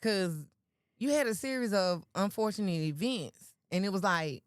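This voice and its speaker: A woman's voice: mid-range voice, lively intonation, moderate pace, clean tone, no echo, clean studio sound. A woman co-hosting a casual talk show, brash and teasing in her questions. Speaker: podcast co-host. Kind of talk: casual chat.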